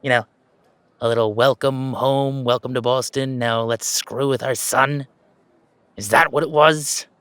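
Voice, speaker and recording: A man acts out an anxious, self-deprecating young gamer in an audio drama. He rambles and hesitates in a mid-pitched conversational voice, recorded very dry. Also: fairly even intonation, unhurried, a bit bright, clean tone, no echo, close to an everyday voice. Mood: indignant, bitter. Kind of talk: conversational audio roleplay acting.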